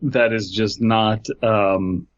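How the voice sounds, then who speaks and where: A man's voice: fairly low pitch, steady tone, slow. Casual man, slightly dull audio. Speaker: podcast host. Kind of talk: casual chat.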